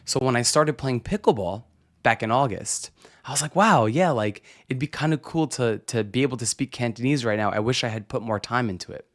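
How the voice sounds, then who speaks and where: A man's voice: medium pitch, lively intonation, fairly quick. Chatty man hosting a podcast. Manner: chatty, frequent "right?" tags and restarts. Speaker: podcast host. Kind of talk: casual solo podcast monologue.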